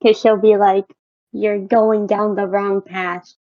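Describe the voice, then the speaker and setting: A woman's voice: mid-range voice, level tone, takes it slowly. A young woman co-hosting a podcast in casual, conversational talk. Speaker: podcast co-host. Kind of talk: casual chat. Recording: dull lo-fi audio.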